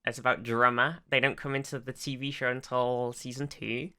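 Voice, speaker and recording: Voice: mid-range voice, flat delivery, normal speed, pushed and bright, clean tone. A podcast co-host chatting casually as an enthusiastic fan, hesitating and often repeating words and restarting sentences. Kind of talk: casual fan discussion of a TV show.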